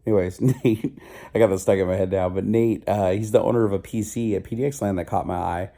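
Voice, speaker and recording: A middle-aged man vlogging casually, his voice still slightly rough and stuffy from a cold, rambling and joking at himself. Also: fairly low pitch, steady tone, quick pace. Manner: rambling, self-mocking, loud. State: stuffy nose. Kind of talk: casual video diary.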